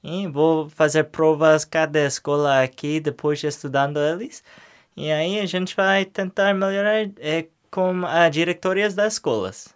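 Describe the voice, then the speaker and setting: Young man, halting speech. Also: fairly high pitch, smooth. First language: English. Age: young adult. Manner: hesitant, searching for words in a second language. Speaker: interview guest. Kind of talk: casual interview answers.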